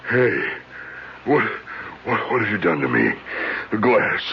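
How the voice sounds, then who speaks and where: A man's voice: lowish voice, very animated, takes it slowly, creaky, full character voice. A man playing a cocky, domineering tough guy with slangy, swaggering talk in old-time radio acting. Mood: weak, betrayed. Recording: dull, faintly noisy old radio recording.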